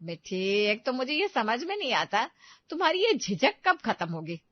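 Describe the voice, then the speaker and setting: A woman's voice: a little high, very animated, moderate pace, no room sound, dull audio, voice pushed up. A woman voicing an affectionate, firm older mother-in-law in a family story, bossy but loving. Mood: firmly loving.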